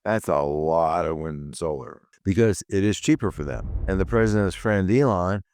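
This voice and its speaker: A man hosting a weekly security news update, talking with a rough voice, giving blunt, dry asides with frequent ums and uhs. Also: sits low, moderate pace, a little mellow. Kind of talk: solo news commentary.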